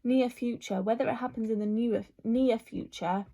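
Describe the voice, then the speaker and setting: A woman's voice: on the high side, fairly even intonation, normal speed, warm tone, slight background noise. A woman, chatting casually through a makeup Q&A, rambling with lots of fillers. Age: 20s. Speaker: vlogger.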